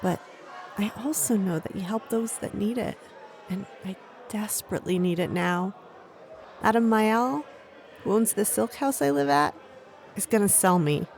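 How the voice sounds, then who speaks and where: A woman's voice: medium pitch, moderate pace, vocal fry, long pauses, a little mellow, some chatter behind, close to an everyday voice. A woman acting a desperate, earnest street girl of about thirteen in a fantasy audio drama. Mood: desperate, pleading. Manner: pleading, earnest.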